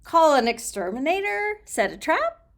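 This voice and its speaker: A woman's voice: sits high, sing-song, measured pace, pitched above the performer's own voice, exaggerated voice. A woman storyteller voicing an exasperated, no-nonsense mother in an emphatic, scolding manner. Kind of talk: storybook character reading.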